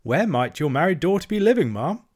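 A man's voice: fairly high pitch, sing-song, a little fast, darkish tone, husky, voice pushed up. A man voicing a hearty, shrewd middle-aged barge woman who speaks politely with a sly edge.

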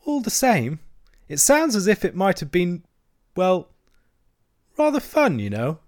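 A man's voice: high voice, huge pitch swings, measured pace, pitched above the performer's own voice, full character voice. A man voicing a loyal, eager little mole in a storybook, earnest and tentative. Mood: loyal, wistful. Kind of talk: storybook character acting.

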